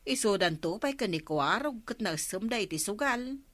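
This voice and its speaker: Bright, cheeky village gossip. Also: female voice, mid-range voice, animated, normal speed, dry recording. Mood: conspiring. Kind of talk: Ilocano radio comedy drama acting.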